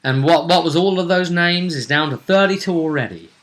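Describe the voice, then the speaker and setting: A man hosting a casual recap of a tabletop role-play tournament, telling it like a story with deliberate phrasing. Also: fairly high pitch, fairly bright tone. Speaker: host.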